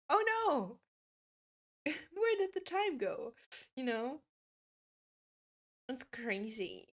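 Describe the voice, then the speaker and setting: A woman's voice: sits high, very animated, drawn-out words, pauses often. A woman in her twenties chatting casually with her stream viewers, laughing often and reading out their messages. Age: 20s. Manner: chatty, giggly, reads chat aloud.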